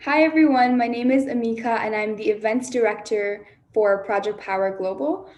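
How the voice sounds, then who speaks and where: A young woman from the UAE, an events director, giving a friendly quick introduction. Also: a little high, a little mellow. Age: young adult.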